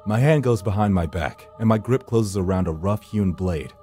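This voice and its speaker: A man's voice: on the low side, normal speed, mellow, soft background music, natural voice. An actor playing a grim, world-weary supernatural rescuer, narrating his hunt in a brooding, solemn, dramatic way. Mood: grim, intense. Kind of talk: dramatic scripted first-person narration.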